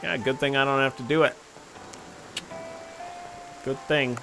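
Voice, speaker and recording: A man's voice: mid-range voice, fairly even intonation, a little slow, creaky, music in the background, rough recording. A man streaming a video game, giving casual, joking, offhand commentary as he plays.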